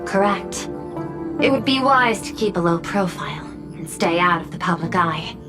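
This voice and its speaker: A woman voicing a polite, dignified video game official with a formal, measured delivery. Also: on the low side, animated, close to an everyday voice. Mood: composed, grave. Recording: music under the voice.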